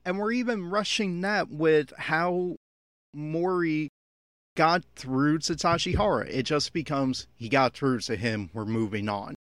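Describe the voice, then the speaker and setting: A man's voice: fairly high pitch, unhurried, fairly bright tone, no echo, clean studio sound. A man giving unscripted, opinionated audio commentary on an anime episode, critical and exasperated in manner.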